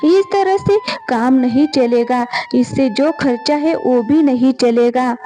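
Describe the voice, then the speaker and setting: Woman voicing a stubborn village potter, high-pitched. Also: slow, dark tone, faint music behind. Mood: grumbling. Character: stubborn, weary village potter and tea seller, husband and father.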